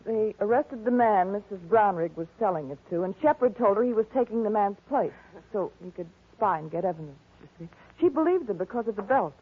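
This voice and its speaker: A narrow, tinny vintage radio recording of a distressed young American client. She speaks earnestly and pleadingly, with a breathy, husky edge in period drama style. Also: medium pitch, expressive, fairly quick. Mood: earnest, explaining.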